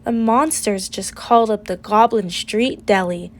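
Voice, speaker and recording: Slow-paced woman reading a children's storybook. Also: medium pitch. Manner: expressive, deliberate rhyming delivery. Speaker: storybook reader. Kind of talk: children's picture book read-aloud.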